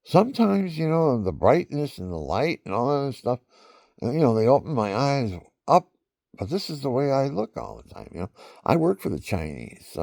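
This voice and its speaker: A man with a very low, gravelly voice drifts slowly from one family story to the next, circling back and repeating lines as he goes. The sound is close and dry, with no room around it. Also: a little mellow, no room sound. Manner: meandering, repeats himself, chuckles at his own stories. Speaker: vlogger. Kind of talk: rambling personal storytelling.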